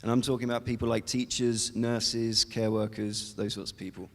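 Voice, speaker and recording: A man, a developer, giving a chatty startup pitch with frequent ums and uhs. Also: little pitch movement, normal speed. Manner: chatty, with many fillers. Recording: long hall reverb.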